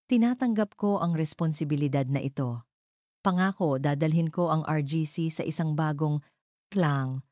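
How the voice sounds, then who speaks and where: Woman voicing a solemn, scheming male CEO, low-voiced. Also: mellow, no room sound, clean studio sound, lightly acted. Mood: confident. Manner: solemn, measured, speechifying. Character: scheming male CEO addressing his board as grieving widower.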